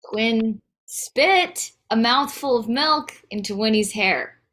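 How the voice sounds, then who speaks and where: A woman's voice: a little high, animated, slow, a bit bright. Woman acting frazzled, dry young mother. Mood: weary. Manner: dry, weary, firm. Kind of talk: conversational comedy table read.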